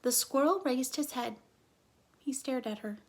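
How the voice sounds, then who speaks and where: A woman reading a children's book aloud as narrator, expressively and with a slightly husky, breathy voice, explaining things to young listeners. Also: high-pitched, unhurried. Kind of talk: children's storybook reading with brief asides.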